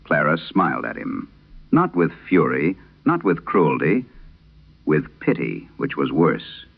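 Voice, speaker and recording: A man playing an aging, haunted priest, telling a solemn horror radio monologue with measured, brooding, confessional delivery. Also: a bass voice, big pitch swings, vocal fry, phone-quality sound, heavily acted. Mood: grim, dread-filled.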